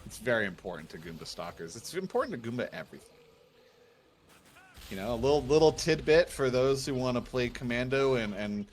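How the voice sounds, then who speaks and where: A man's voice: medium pitch, very animated, fairly quick, a little hiss or hum. A man commentating a video game tournament on a casual livestream, breaking down the play with dry joking asides.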